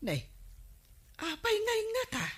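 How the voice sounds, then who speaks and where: Slow, reproachful village woman. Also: very high voice, huge pitch swings, a bit bright, heavily acted. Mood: concerned. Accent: Ilocano. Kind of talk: radio comedy drama acting.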